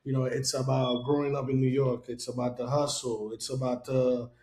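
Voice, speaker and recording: A man's voice: medium pitch, level tone, normal speed, mellow. A man from Haiti hosting a casual podcast chat, loose and rambling with lots of fillers. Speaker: podcast host. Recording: roomy echo.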